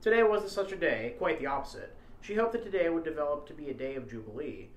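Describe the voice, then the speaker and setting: A man's voice: fairly high pitch, animated, fast talker. A man co-hosting a story read-along, shifting between casual chat and reading aloud. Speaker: co-host and reader. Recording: echoey, reverberant room sound.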